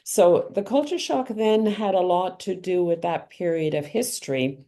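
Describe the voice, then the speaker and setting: A middle-aged woman being interviewed about her life, answering in a thoughtful, measured way with frequent ums and restarts. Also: lowish voice, warm tone. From Canada. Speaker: author and guest.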